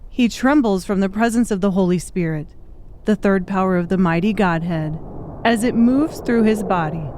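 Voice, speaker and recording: A woman's voice: mid-range voice, moderate pace, a little mellow, a little hiss or hum. A woman reading scripted, dramatic audiobook narration.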